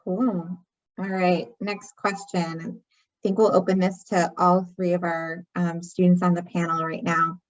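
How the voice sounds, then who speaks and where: Woman moderator, brisk, short prompts, slightly dull sound. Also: lowish voice, level tone. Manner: brief, brisk. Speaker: panel moderator. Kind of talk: moderating a panel.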